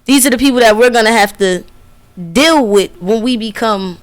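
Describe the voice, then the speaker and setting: A woman's voice: a little high, normal speed, clean tone. A woman radio host in casual conversation, speaking in an earnest, emphatic, colloquial way. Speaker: radio DJ and interviewer.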